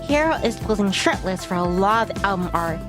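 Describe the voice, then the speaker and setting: A woman's voice: medium pitch, lively intonation, a little fast, music in the background. A woman streamer narrating a visual novel playthrough, reading the story and dialogue aloud expressively.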